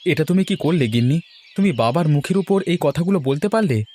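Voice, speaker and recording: A man's voice: a little high, animated, brisk, mellow. A man voicing a meek, kindly family man in a moral-tale cartoon, earnest and a little hesitant. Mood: worried.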